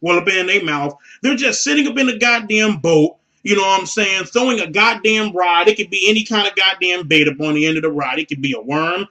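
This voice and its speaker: A man's voice: high voice, brisk, few pauses, sharp tone. A man delivering a profane comedic rant in an emphatic, incredulous manner. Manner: emphatic, incredulous, ranting with exclamations.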